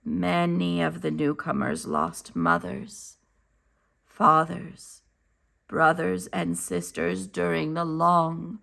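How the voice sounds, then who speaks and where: A woman reading a children's picture book aloud as a teacher, enunciating carefully and deliberately. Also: lowish voice, little pitch movement, vocal fry, a bit bright. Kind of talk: slow, expressive children's read-aloud narration.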